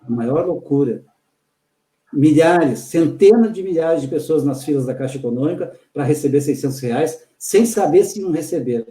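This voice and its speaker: A man's voice: on the high side, warm tone. Emphatic man in an echoey room. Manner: critical, emphatic, listing points. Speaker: host. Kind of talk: political discussion on a live video chat.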